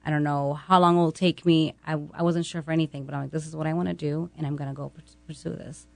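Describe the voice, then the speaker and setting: A woman's voice: low-pitched, flat delivery, quick pace, creaky, dry recording. A woman in her twenties talking casually as an interview guest, candid and self-assured, in short emphatic statements. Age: 20s. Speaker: podcast guest, real estate agent.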